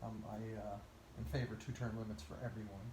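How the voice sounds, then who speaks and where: A man from Portugal talking casually in a conversation, giving his views at a measured pace and pausing often with "uh". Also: lowish voice, flat delivery, darkish tone, roomy sound, a little hiss or hum, poor audio. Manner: measured, lists places and reasons, with many "uh" pauses. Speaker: interviewee.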